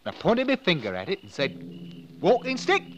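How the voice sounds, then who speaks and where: A man's voice: sits high, wildly swooping pitch, fairly quick, highs cut off, a little hiss or hum. An actor playing a timid, fumbling clerk who finds he can work miracles, in a 1950s radio drama. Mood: wondering. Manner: hesitant, fumbling, folksy.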